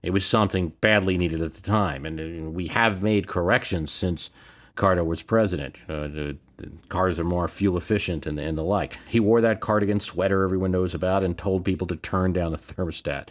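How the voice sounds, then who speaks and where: A man's voice: sits low, a little fast. A man hosting a history podcast, talking through political history in a conversational, emphatic way with rhetorical questions.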